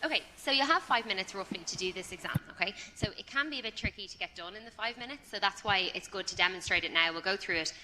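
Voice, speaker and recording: A woman's voice: medium pitch, fast, few pauses, background noise. A woman tutoring medical students through a practical exam demo, chatty and encouraging. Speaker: clinical skills tutor. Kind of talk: hands-on teaching demo.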